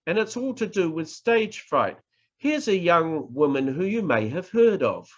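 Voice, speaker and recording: A middle-aged man with a New Zealand accent, teaching in a deliberate, emphatic way with pauses and repetition over a video call. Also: fairly high pitch, big pitch swings. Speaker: professor teaching public speaking. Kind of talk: instructional online lecture. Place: indoors, online presentation. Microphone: video call microphone. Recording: muffled lo-fi video-call audio.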